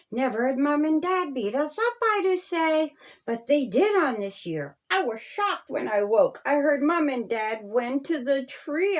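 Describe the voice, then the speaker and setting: Woman, rhyming storybook read-aloud, unhurried and very slow, highish pitch, singsong deliberate phrasing. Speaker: author reading own children's story.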